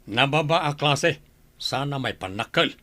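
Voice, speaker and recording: A man's voice: mid-range voice, expressive, a little slow, pushed and bright. A man playing a stern, disappointed father in a radio drama, scolding and disparaging.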